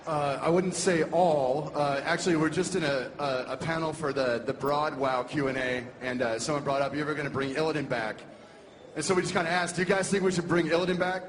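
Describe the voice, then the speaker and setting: A man's voice: a little high, fairly quick. A man answering off the cuff as a panelist, in a hesitant, hedging way. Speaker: convention panelist. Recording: noisy convention recording with crowd.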